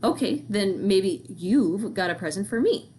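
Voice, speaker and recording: A woman's voice: medium pitch, expressive, measured pace, warm tone, slight background noise, close to an everyday voice. A woman voicing a scrappy, tough fifth-grade girl with a blunt, confrontational manner, in a children's storybook reading. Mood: falsely sweet.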